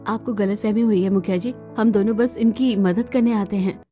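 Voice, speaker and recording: A woman's voice: medium pitch, steady tone, moderate pace, lightly acted. A woman voicing an anxious, well-meaning young village daughter, earnest and pleading, in a cartoon drama. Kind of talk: dramatic Hindi cartoon story dialogue. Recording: dull sound under loud music.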